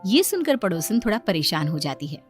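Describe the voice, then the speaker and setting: A woman's voice: on the low side, very animated, fairly quick, soft background music. A woman narrating a scripted moral story as a storyteller.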